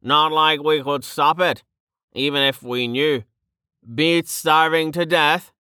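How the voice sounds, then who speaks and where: A woman's voice: low-pitched, fairly even intonation, takes it slowly, bright, forceful tone, clean tone, no echo, pitched above the performer's own voice, heavily acted. A gloomy, fatalistic man acted by a female narrator. He drawls with resignation in an audiobook reading, the sound dry and close. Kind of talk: audiobook character acting.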